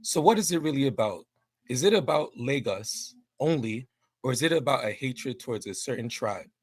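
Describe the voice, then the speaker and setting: A man's voice: mid-range voice, expressive, normal speed, no echo. A man arguing indignantly in an online debate, emphatic and repeating himself. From New York City. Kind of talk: heated debate.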